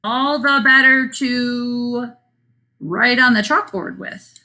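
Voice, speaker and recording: A woman voicing a storybook bear that is politely menacing, in a deliberate read-aloud delivery. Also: fairly high pitch, pushed and bright, heavily acted, poor audio. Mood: sly menace.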